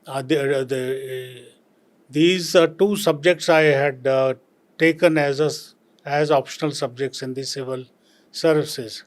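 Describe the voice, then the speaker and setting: An older man from Punjab with an Indian accent, a retired civil servant answering interview questions slowly and reflectively, with many pauses and fillers. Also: medium pitch, fairly even intonation.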